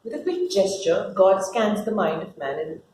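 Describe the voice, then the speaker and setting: A woman's voice: mid-range voice, moderate pace, darkish tone. A woman reading out scripted stage directions as the narrator of a play. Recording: roomy echo with faint noise.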